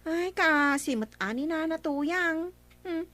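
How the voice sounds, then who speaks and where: A woman's voice: very high-pitched, takes it slowly, bright, forceful tone, dry recording, exaggerated voice. An actress playing a sassy, blunt village woman in an Ilocano radio comedy drama. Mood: gossipy. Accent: Filipino, Ilocano.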